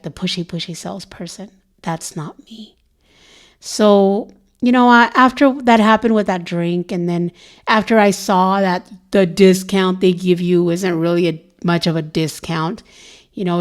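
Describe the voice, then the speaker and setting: A hoarse woman vlogging in a casual, rambling chat to her viewers, full of "you know" fillers. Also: lowish voice.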